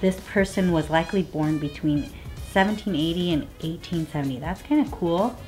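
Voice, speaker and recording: A woman's voice: on the low side, moderate pace, darkish tone, soft background music. A woman chatting casually as a vlog co-host, partly reading results aloud, with a playful, teasing manner. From Vietnamese family.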